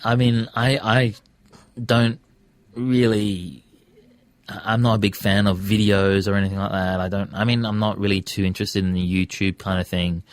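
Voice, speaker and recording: A man with a low-pitched voice and an Australian accent speaks casually as a guest in an interview. He circles around his points, hesitating and rephrasing as he goes. The sound is close and dry. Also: dry recording. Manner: hesitant, self-correcting, discursive. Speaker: interview guest, radio presenter and podcaster.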